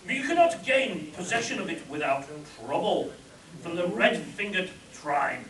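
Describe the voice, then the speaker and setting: A grandly formal Mayan king acted by a man declaims in a high voice that swings widely in pitch. It is spoof radio adventure acting, heard from off in an echoey hall. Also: very animated, normal speed, fairly bright tone. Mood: solemn. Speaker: amateur actor in a live radio play. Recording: echoey live hall, distant mic.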